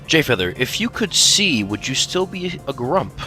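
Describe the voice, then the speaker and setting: A man reading questions as co-host of a fan Q&A in a playful, teasing, animated manner. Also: medium pitch, moderate pace. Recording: faint music behind the voice.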